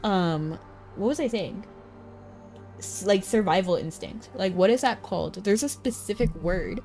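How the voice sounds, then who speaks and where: A woman's voice: mid-range voice, measured pace, a little mellow. Chatty woman streamer reacting to a game. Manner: chatty, reactive, playful, slangy. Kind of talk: casual running commentary on game story. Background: faint game music.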